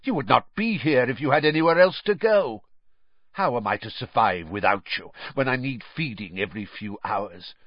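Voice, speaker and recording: A man reading an audiobook and voicing a sickly, cold, menacing dark lord who talks in a hissing whisper. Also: mid-range voice, animated, moderate pace, no room sound, muffled recording, pitched above the performer's own voice, natural voice.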